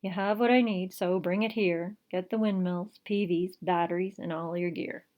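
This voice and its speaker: A woman's voice: fairly low pitch, steady tone, normal speed, darkish tone, lightly acted. A woman voicing a lazy, grudging uncle in a picture book, with an offhand, reluctant manner. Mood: resigned.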